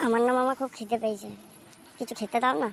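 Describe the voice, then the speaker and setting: Woman voicing a high-pitched cheeky cartoon boy. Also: steady tone, quick pace, close to an everyday voice. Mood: wheedling. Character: hungry, cheeky, scheming young nephew, a boy. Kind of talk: amateur comic cartoon dubbing. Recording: faint background noise.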